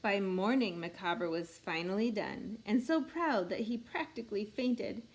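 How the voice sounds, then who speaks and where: A woman reading a rhyming picture book aloud in an expressive, measured storytelling way meant for children. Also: medium pitch, poor audio. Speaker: storybook reader.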